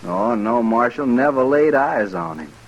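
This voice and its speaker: A man's voice: mid-range voice, lively intonation, measured pace, muffled recording. An actor playing a simple, earnest, deferential frontier townsman in an old-time radio western, with a folksy, respectful drawl.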